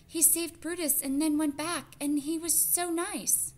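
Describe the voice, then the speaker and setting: A woman voicing an excitable, tender young girl mouse in a storybook, exclaiming. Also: a little slow. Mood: upset. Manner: high, exclaiming.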